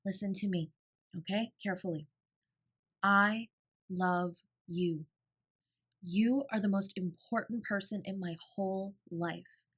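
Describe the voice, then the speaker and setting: A woman playing a protective, tender older sibling in an intimate audio roleplay, with a soft, breathy, reassuring delivery. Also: mid-range voice. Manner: gentle, reassuring, lightly teasing.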